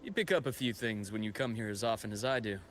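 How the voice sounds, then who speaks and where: An actor playing a composed, knowledgeable expedition guide and scholar in a video game, telling history in a measured, reflective way. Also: male voice, on the low side, a bit bright, slight background noise, natural voice. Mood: friendly, helpful. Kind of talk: scripted video game story dialogue.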